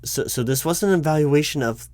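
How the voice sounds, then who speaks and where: A man playing a nervous, baffled corporate recruiting manager in an audio roleplay, polite and earnest with halting, stammering speech. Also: fairly high pitch, darkish tone, no echo, lightly acted. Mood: puzzled. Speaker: roleplay performer. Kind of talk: one-sided audio roleplay of a job interview.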